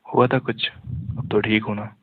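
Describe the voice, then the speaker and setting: Male on a phone call, monotone casual chat, narrow tinny line. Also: lowish voice, a little slow, no room sound. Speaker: call recipient in a phone conversation.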